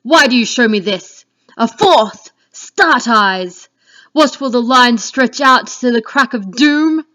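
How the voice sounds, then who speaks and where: A woman's voice: on the high side, animated, takes it slowly, bright, forceful tone, heavily acted. A woman reading the part of Macbeth, a fearful, defiant king, in a declamatory Shakespeare dramatic reading. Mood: horrified. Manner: declamatory, exclamatory. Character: Macbeth, male Scottish thane turned king, fearful and defiant. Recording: dull lo-fi home recording.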